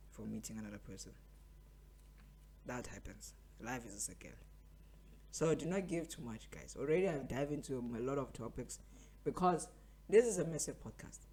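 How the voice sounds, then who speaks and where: A man's voice: medium pitch, a little mellow, a little hiss or hum. A man of twenty-three, hosting a podcast as a casual motivational monologue and repeating phrases for emphasis. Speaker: podcast host.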